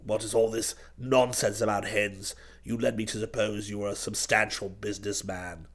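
A storybook old English duke, as a male narrator puts him on, pitched very low. He speaks with clipped, pompous formality and stays icily polite while bristling with offended dignity. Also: little pitch movement, moderate pace, natural voice. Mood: stern displeasure. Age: older.

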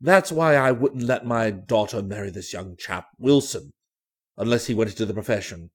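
A man voicing a blunt, sentimental old vaudeville performer with a husky voice and emphatic phrasing, in a storybook reading. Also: on the low side, normal speed, pitched below the performer's own voice. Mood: indignant, hurt.

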